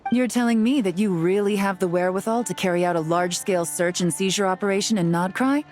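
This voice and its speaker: A woman playing a cool, shrewd operative in an anime game, measured, decisive and matter-of-fact. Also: mid-range voice, darkish tone, soft background music, close to an everyday voice. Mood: cool, firm. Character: cool, shrewd female operative, composed negotiator.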